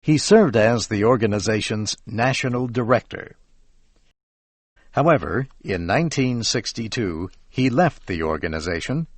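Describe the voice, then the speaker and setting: An American man reading scripted educational narration for English learners in a measured, carefully enunciated way. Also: lowish voice, lively intonation, no echo.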